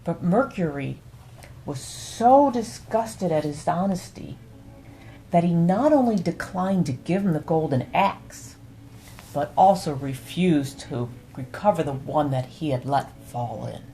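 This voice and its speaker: A woman telling bedtime fables as a storyteller, in an unhurried, soothing and expressive way. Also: low-pitched, slight background noise, low-quality recording.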